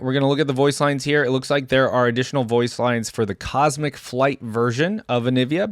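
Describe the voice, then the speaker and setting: A man's voice: mid-range voice, no room sound, clean studio sound. A man hosting a reaction video, giving casual, informal commentary as he thinks aloud.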